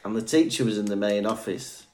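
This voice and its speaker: A man's voice: lowish voice, fairly quick, darkish tone. A young adult man from Wales co-hosting a podcast, chatting casually, telling stories and laughing often. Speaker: podcast co-host. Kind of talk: casual chat.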